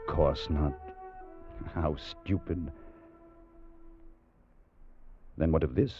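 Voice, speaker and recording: A man's voice: medium pitch, dark tone, heavily acted. A dull old radio recording with music behind it carries an anguished male adventurer. His delivery is intense and theatrical, in the style of a 1950s radio drama. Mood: haunted, reflective. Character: anguished adventurer recounting his time travel ordeal.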